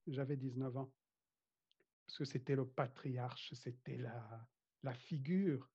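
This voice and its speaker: A man's voice: medium pitch, fairly even intonation, takes it slowly, mellow, breathy edge. A man from Rwanda who grew up speaking Kinyarwanda, talking casually as an interview guest, hesitant, with many restarts and frequent laughs. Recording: dull lo-fi sound.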